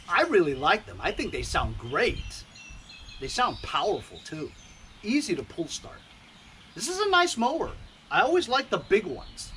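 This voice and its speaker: A man's voice: fairly high pitch, bright, forceful tone, a little hiss or hum. Chatty fifty-something man. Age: 50s. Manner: chatty, rambling, with frequent fillers and asides. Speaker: small engine repair vlogger. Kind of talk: casual chat while repairing. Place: outdoors in a driveway and backyard. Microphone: action camera.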